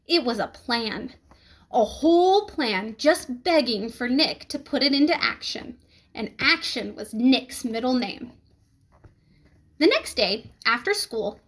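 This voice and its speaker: A woman reading a children's book aloud in an expressive, emphatic storytelling manner. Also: high voice. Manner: animated, emphatic storytelling with questions to listeners.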